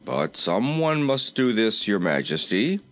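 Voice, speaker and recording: A man's voice: on the low side, very animated, measured pace. A man voicing a respectful, firm royal courtier in a storybook, formal and deferential yet insistent. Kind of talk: storybook character voice.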